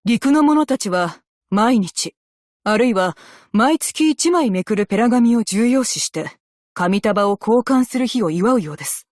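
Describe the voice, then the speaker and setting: A woman's voice: fairly high pitch, animated, a little mellow, no echo, clean studio sound. A composed, formal female naval officer. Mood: reserved, businesslike. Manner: formal, measured, military politeness, stern in commands. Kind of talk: scripted game character voice lines in Japanese.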